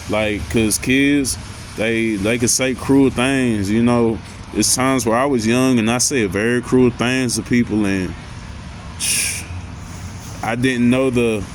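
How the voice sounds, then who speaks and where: Man, deep voice, casual vlog ramble full of slang, mid pitch, light traffic hum behind. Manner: loose, rambling, heavy slang and fillers. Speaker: vlogger. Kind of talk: casual motivational talk.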